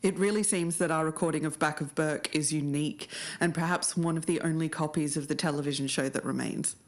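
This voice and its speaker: A woman's voice: low voice, fairly even intonation, a little fast. A woman co-hosting a podcast and delivering scripted narration. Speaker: podcast co-host.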